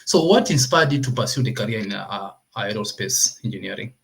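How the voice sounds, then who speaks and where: Halting Kenyan man hosting. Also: lowish voice, expressive, gravelly, fairly bright tone. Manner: halting, repeats himself. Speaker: host of an online talk series. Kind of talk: informal interview hosting.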